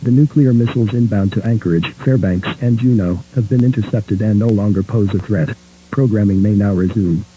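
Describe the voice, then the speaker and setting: A man's voice: on the low side, steady tone, brisk, mellow, slight background noise, poor audio. A man reading a scripted broadcast announcement as a formal, impersonal alert announcer.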